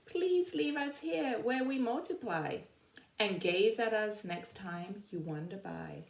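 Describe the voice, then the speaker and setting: A woman voicing a proud, pleading zebra in measured, sing-song picture-book verse. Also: mid-range voice, animated, creaky, pitched above the performer's own voice. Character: proud striped zebra pleading to be left alone. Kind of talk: children's picture-book poem reading. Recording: roomy, echoing sound.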